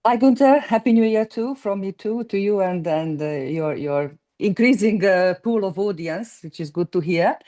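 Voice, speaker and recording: A woman in her sixties, a guest economist speaking in a halting way as she searches for words. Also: medium pitch, expressive, dark tone. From Hungary. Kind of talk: conversational interview.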